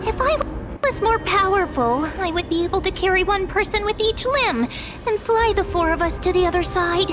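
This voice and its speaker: A woman's voice: very high-pitched, moderate pace, over music. A woman voicing a small, cheerful pink fairy companion in a video game, cutesy, childlike and earnest. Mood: eager, helpful. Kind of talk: video game character acting.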